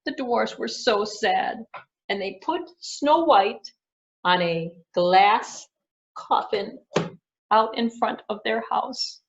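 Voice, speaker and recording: A woman librarian narrating a fairy tale for children in an expressive storytelling manner. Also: on the high side, takes it slowly. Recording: dull lo-fi recording.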